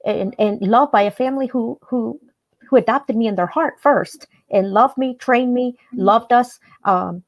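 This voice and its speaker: A woman's voice: a little high, a little slow, dry recording. A woman from El Salvador, a native Spanish speaker, telling her personal story as an interview guest. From El Salvador, then Maryland, United States from age ten.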